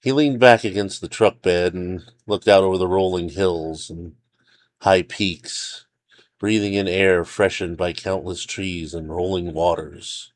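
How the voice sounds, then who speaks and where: A man's voice: sits low, steady tone, a little slow. A man reading his own fiction aloud as a scripted story narrator.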